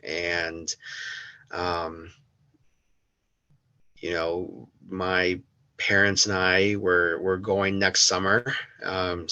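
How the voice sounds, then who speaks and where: A young man from the United States, a podcast guest talking slowly and hesitantly, with many pauses and restarts. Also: low voice, bright, forceful tone, dry recording, highs cut off. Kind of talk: casual interview.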